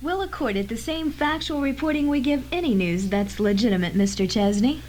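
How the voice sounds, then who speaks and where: Woman playing persistent reporter, slightly high voice. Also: lively intonation, a little fast, a little mellow, close to an everyday voice. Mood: polite, firm. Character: persistent, crisp female press reporter. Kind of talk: old-fashioned radio drama acting. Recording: noisy amateur 1980s radio tape.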